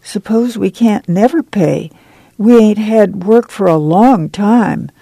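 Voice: medium pitch, unhurried, gravelly, warm tone, no room sound, voice pushed up. A woman voicing a meek, flustered, poor rural mother with a whining, apologetic, folksy way of speaking in a story. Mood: ashamed, timid. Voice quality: whining, bleating.

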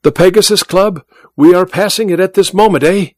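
Male reader playing a theatrical blind magician, pitched up high above his own voice, sly and grand. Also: fairly quick, dry recording, natural voice.

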